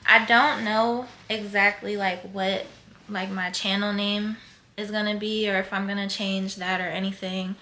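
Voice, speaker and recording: A woman's voice: mid-range voice, little pitch movement, a little slow, bright, forceful tone, a little hiss or hum. A woman, chatting casually to camera as a first-time vlogger, rambling with lots of fillers. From Philadelphia, USA. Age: 20s. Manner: blunt, heated and cursing when ranting.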